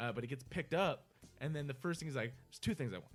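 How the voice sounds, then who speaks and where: A man's voice: medium pitch, expressive, quick pace, faint music behind. A man co-hosting a podcast, talking casually about movies in an emphatic, sweary way and often repeating himself. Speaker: podcast co-host.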